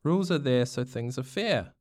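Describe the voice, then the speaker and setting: A man voicing a gentle, caring father in a storybook, soft and reassuring, with a New Zealand accent. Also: medium pitch, expressive, normal speed, no room sound, clean studio sound, natural voice.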